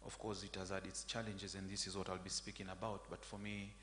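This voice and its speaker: Tanzanian male academic, flat low delivery with fillers, recorded in a reverberant hall with faint hum. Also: fast. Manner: friendly, hesitant with fillers. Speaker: academic panelist. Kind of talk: academic panel talk.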